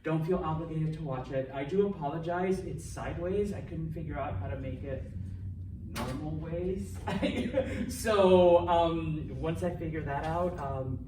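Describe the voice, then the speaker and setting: A male language instructor giving a relaxed classroom lecture, joking and checking in with students often. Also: fairly high pitch, a little slow. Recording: echoey classroom from a distance, faint noise.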